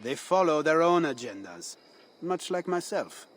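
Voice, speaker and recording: An actor playing a cynical French rebel leader in a video game, sardonic and guarded, with a French accent. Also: male voice, fairly high pitch, big pitch swings, unhurried, soft background music. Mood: dry, cynical. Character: wry, cynical male French rebel leader, secretly softhearted. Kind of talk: dramatic video game acting.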